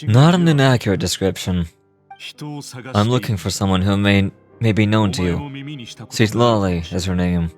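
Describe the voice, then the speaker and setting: A man's voice: fairly low pitch, lively intonation, moderate pace, natural voice. Stern, commanding man acting as warrior leader, music behind. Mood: cold, commanding. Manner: formal, terse, commanding. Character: stern, imposing male leader of elite warriors. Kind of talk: video game voice acting.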